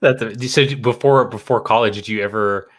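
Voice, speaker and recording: A man's voice: fairly low pitch, normal speed, fairly bright tone. A man hosting an interview, chatty and enthusiastic, asking long rambling questions. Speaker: podcast host, interviewer. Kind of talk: casual interview conversation.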